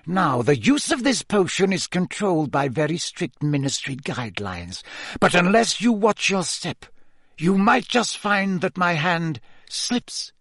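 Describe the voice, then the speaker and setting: A man voicing a menacing, vindictive male potions teacher in an audiobook, with hissing, drawn-out, cutting threats. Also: high voice, wildly swooping pitch, vocal fry, pushed and bright, breathy edge, no echo, clean studio sound, voice pushed up, heavily acted. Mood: vicious threatening.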